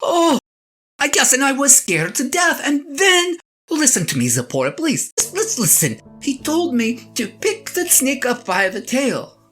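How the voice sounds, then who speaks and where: Woman acting as flustered shepherd husband, audio drama, stammery and earnest, pitch all over the place, guitar music behind. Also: very animated, a bit bright, heavily acted. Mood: agitated, insistent. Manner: halting, stammering, earnest, self-correcting. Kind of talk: one-sided audio drama monologue, conversational and comic. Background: plucked guitar music.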